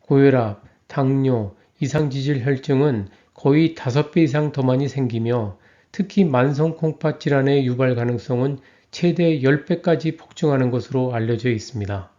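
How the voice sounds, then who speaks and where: A man reading a scripted health lecture in an even, measured way. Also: mid-range voice, lo-fi sound.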